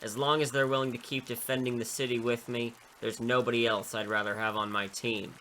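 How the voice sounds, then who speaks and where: A man's voice: mid-range voice, little pitch movement, a little fast, bright, forceful tone, slight background noise, natural voice. A man playing a confident young superhero, proud and assured, making declarations in an audio drama.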